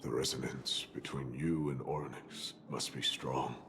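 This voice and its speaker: A man playing a grave, resolute warrior prince in a video game, speaking solemnly in formal, measured phrases. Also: a bass voice, fairly even intonation, creaky, heavily acted. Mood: grave, brooding. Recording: music behind the voice.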